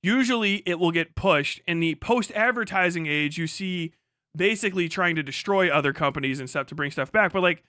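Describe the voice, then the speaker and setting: A man's voice: high-pitched, fairly quick, pushed and bright, dry recording, clean studio sound. A man on a casual, emphatic livestream rant, speaking off the cuff, repeating himself for emphasis and swearing freely. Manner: emphatic, repetitive, profane.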